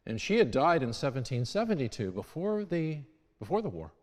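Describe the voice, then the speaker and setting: A man's voice: on the high side, very animated, fairly quick, a little mellow. A man giving an informal history talk to a congregation in a church, talking conversationally and correcting himself as he goes. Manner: conversational, with restarts and self-corrections. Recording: long church reverb.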